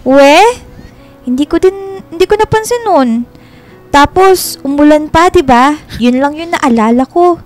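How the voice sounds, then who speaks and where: A sweet young Filipina girlfriend in a radio romance. Her voice is high, with coy, drawn-out endearments and playful affection. Light music sits behind her. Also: expressive. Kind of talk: Philippine radio romance drama.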